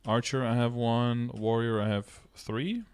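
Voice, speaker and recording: A man's voice: medium pitch, fairly even intonation, a little slow, darkish tone, smooth. A man in his thirties giving a casual step-by-step explanation as a game streamer, speaking into a close mic indoors. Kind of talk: casual live-stream tutorial, answering chat questions. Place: indoor room, streaming setup.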